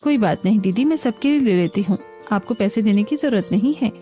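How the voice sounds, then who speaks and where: A woman's voice: medium pitch, lively intonation, fairly quick, dark tone, faint music behind, natural voice. A woman voicing an obliging, put-upon young daughter-in-law in a cartoon, speaking politely. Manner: polite, accommodating. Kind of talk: Hindi cartoon dialogue.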